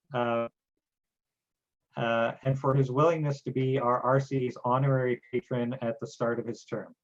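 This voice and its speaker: A man hosting an awards ceremony, reading out names and titles carefully with occasional fillers. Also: medium pitch. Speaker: event host. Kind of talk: formal ceremony introductions. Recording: dull lo-fi sound.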